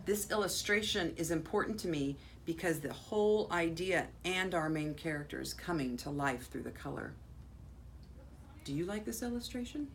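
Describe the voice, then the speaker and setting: An American woman, a school principal, reading a children's picture book aloud expressively, with dramatic pauses and drawn-out words. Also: on the low side, expressive. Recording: faint background noise.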